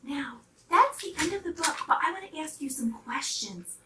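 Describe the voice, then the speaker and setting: A woman reads aloud and talks to young children with lots of expression. Her voice is high and swings widely in pitch. The recording is roomy and slightly distant. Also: moderate pace, heavy background noise. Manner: animated, addressing young children. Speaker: storybook reader.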